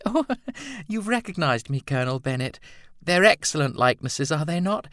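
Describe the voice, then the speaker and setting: A man voicing a coy, high-pitched poised woman. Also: very animated, moderate pace, a bit bright, dry recording, clean studio sound. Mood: teasing. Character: flirtatious, self-assured woman, teasing artist's model.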